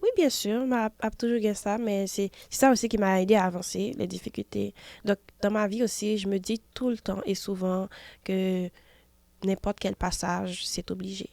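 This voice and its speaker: A woman's voice: mid-range voice, fairly even intonation, moderate pace, vocal fry, dark tone, no echo. A woman in her twenties from Haiti with a Haitian French accent, a singer answering interview questions hesitantly, with many fillers. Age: 20s. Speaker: singer guest. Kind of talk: casual interview.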